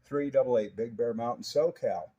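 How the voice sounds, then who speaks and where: A man's voice: mid-range voice, normal speed, mellow, husky. An older American man talking casually as a CB radio operator, folksy and chatty, with plenty of radio jargon. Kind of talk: casual radio check-in chat using CB jargon. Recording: dull, lo-fi audio.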